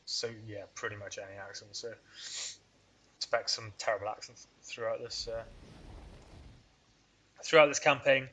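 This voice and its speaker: A man's voice: on the low side, moderate pace, pauses often, sharp tone, slight background noise, low-quality recording. A man hosting a strategy game let's play, rambling and thinking aloud in a casual, self-deprecating way. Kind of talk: casual gameplay commentary.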